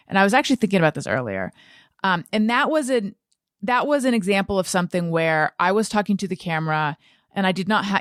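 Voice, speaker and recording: A woman's voice: on the low side, a bit bright, dry recording, clean studio sound. A woman podcast host chatting casually in a rambling, self-deprecating way, with "like" sprinkled throughout. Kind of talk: casual chat.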